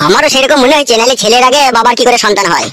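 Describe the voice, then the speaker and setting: A man voicing an eager, nosy young sidekick in a comedy cartoon, cheeky but deferential, with a pitched-up effect. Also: animated, very fast, fairly bright tone. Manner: cheeky, deferential to his boss.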